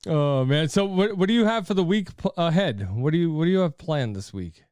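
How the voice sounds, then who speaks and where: A middle-aged man hosting a podcast in a casual phone chat, friendly and quick to giggle. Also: on the high side, animated, darkish tone, clear, resonant tone, no room sound, studio quality. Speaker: podcast host.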